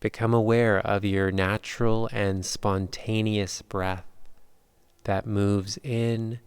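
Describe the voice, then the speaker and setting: Slow, low-voiced man guiding meditation. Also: smooth, dry recording. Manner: calm, unhurried, measured instruction with long pauses. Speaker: yoga nidra meditation guide. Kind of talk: guided meditation narration.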